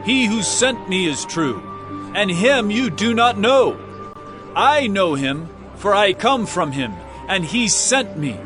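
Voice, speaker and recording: An impassioned male teacher in an audio Bible drama. His voice sits fairly high and moves with lively swings, earnest and emphatic as he preaches with authority. Clear music plays behind him. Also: takes it slowly, exaggerated voice. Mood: earnest, firm.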